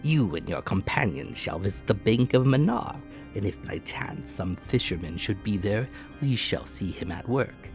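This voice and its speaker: A man voicing a commanding, unruffled Victorian submarine captain in an audiobook, with measured, courteous speech that treats danger lightly. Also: fairly low pitch, sing-song, a little mellow. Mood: courteous, nonchalant. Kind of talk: dramatic audiobook character voice. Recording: echoey room, music behind.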